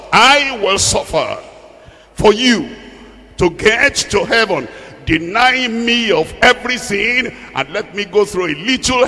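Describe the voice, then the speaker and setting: High-pitched, slow male preacher in a reverberant hall. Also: bright, forceful tone. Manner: exhorting, repeats phrases for emphasis. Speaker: preacher teaching from the Bible. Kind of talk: sermon.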